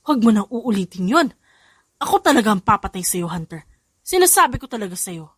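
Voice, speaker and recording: A woman's voice: mid-range voice, big pitch swings, brisk, fairly bright tone, breathy edge, dry recording, clean studio sound. A woman voicing a scolding, teasing, motherly old woman in an audio drama.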